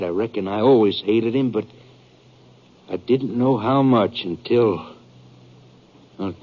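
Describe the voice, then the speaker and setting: A muffled vintage radio recording of a bitter western outlaw. His voice is low and a little husky, with rough country grammar and a halting, brooding way of speaking, as in a 1950s radio drama. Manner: rustic ungrammatical speech, halting, confessional. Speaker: supporting actor in a radio western.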